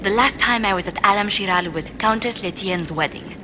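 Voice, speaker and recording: An actress playing a refined, wistful noblewoman diplomat in a video game, speaking in a formal, measured way. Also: medium pitch, noisy background, natural voice. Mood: composed intrigue. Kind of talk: video game character dialogue.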